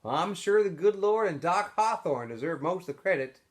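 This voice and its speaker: A man voicing an excitable, indignant townswoman from a storybook, exclaiming with scandalized emphasis. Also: high-pitched, moderate pace, voice pushed up. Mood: indignant, excited.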